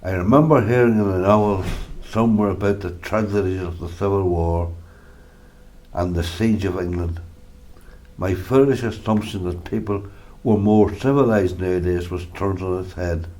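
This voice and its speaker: A man playing an elderly war veteran grandfather in a radio drama, sombre and bitter, speaking in a grave, reflective, deliberate way. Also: low-pitched, warm tone, close to an everyday voice. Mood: haunted, reflective. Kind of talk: amateur radio drama monologue.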